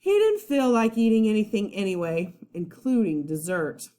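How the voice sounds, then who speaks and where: A woman teacher reading a children's story aloud expressively, pausing to put questions to young listeners. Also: medium pitch, very animated, dark tone. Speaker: first grade teacher.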